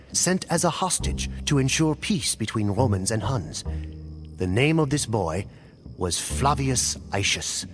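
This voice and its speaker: A man giving solemn, measured, scripted dramatic narration in the manner of a storyteller for a game cutscene. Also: medium pitch. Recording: music playing under the voice. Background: clear background music.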